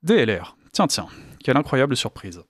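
A man's voice: mid-range voice, very animated, fairly quick, dry recording, studio quality. A man reading scripted political commentary in a sardonic, indignant manner. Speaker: commentator presenting news clips.

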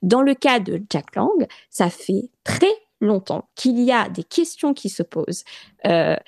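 A woman from France, speaking as a radio interview guest in an earnest, halting way with many restarts and fillers. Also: mid-range voice, sing-song, creaky, a little mellow, no echo, studio quality. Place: radio studio.

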